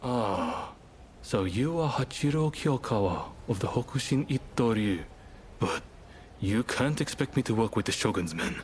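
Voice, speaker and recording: A man's voice: fairly low pitch, animated, a little slow, a little hiss or hum. A man playing a wary, dignified samurai swordmaster in a video game. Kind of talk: video game cutscene acting.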